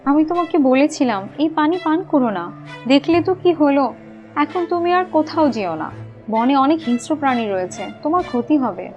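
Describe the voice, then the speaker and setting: Woman acting a caring young sister, lively high voice. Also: fairly quick, lo-fi sound, faint music behind. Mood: worried, scolding.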